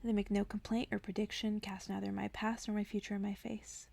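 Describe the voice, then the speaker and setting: A woman voicing an open-hearted, curious village girl in a storybook, soft and earnest. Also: medium pitch, flat delivery, fairly quick, gravelly, dry recording.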